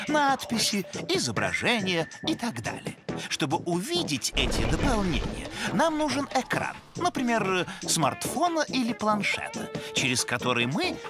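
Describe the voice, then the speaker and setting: An actor voicing a brainy, self-assured moose scientist in an educational children's cartoon, lecturing in a wordy, pedantic way. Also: male voice, on the high side.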